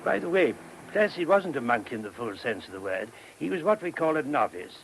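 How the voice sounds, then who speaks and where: A man's voice: a little high, very animated, brisk, creaky, fairly bright tone, heavily acted. A man playing an anxious, courteous monk in a radio play, hesitant and apologetic in manner. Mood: troubled, earnest. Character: anxious, courteous monk guiding a police inspector. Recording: noisy old radio recording.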